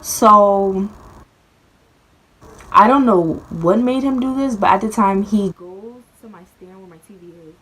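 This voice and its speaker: A young woman vlogger telling a childhood story in casual chat, rambling with many fillers and restarts, her voice often dropping very quiet. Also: mid-range voice, measured pace, rough recording.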